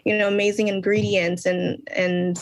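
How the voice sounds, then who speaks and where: A woman's voice: mid-range voice, little pitch movement, moderate pace, rough recording. A woman author speaking as a podcast guest, her voice sitting in the middle of the usual register. She thinks aloud and hesitates often, circling back with small fillers before she lands her point. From United States. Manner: thoughtful, many ums and restarts. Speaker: children's book author, guest. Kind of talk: casual interview conversation.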